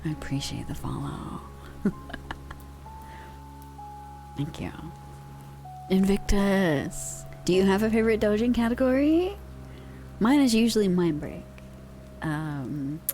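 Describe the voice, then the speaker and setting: A woman's voice: fairly low pitch, darkish tone, rough recording. A young woman streamer chatting casually with her viewers in a slangy, laid-back way, using pet names, on a close microphone.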